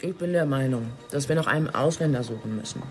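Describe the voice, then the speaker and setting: An actress playing a sceptical, forthright police detective in a German radio drama, with a pointed, questioning manner. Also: female voice, a bass voice, fast, faint music behind. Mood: sceptical, insistent.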